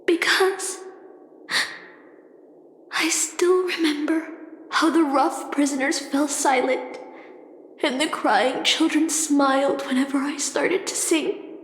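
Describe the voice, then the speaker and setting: Game role: mournful spirit singer, very high voice, theatrical, echoing over music. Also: female voice, fairly even intonation, slow, pauses often, a little hiss or hum. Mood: weary, bitter. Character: sorrowful fallen songstress spirit, weary and self-condemning. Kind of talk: dramatic game voice acting. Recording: echoey hall reverb, music behind.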